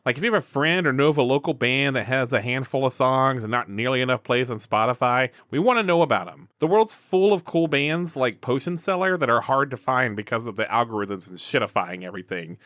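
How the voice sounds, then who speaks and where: A man's voice: mid-range voice, brisk, few pauses, a bit bright, dry recording, clean studio sound. A man hosting and narrating a tabletop game in a casual, improvised, conversational way.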